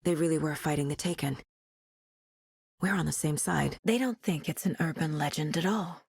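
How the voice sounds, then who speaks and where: An actress playing a determined, focused female FBI agent in a video game, with a serious, measured investigator's delivery. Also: low-pitched, gravelly, darkish tone, slightly rough, dry recording, studio quality, heavily acted. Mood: businesslike. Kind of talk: scripted video game dialogue and inner monologue.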